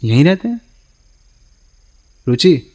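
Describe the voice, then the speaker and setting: Wry heartbroken young Darpan. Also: male voice, on the high side, big pitch swings, slow pace, mellow, full character voice. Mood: casual. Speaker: radio drama actor. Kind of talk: radio play acting.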